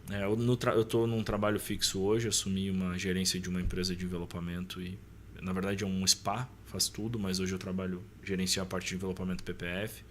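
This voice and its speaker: Low-voiced Brazilian man in his thirties. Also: little pitch movement, quick pace, a bit bright, slight background noise. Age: 30s. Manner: colloquial, slangy, often seeking agreement with short tags. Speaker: podcast guest. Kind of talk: casual personal storytelling.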